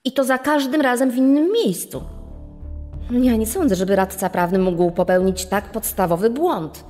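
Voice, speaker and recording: An actress playing a wary client who gives measured, formal testimony in a true-crime podcast dramatization. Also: female voice, a little high, a little mellow, close to an everyday voice. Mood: measured, concerned. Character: wary female client who suspects she was swindled. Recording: long reverb, music underneath.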